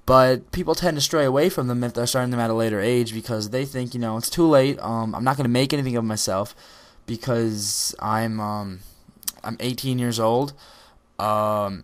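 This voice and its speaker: A teenage boy speaks in a casual, rambling way over his gameplay video, at a middle pitch for a young man. His talk is full of ums, repeated starts and self-corrections. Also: clear, resonant tone. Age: teens. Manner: rambling, many ums and restarts. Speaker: gaming video creator.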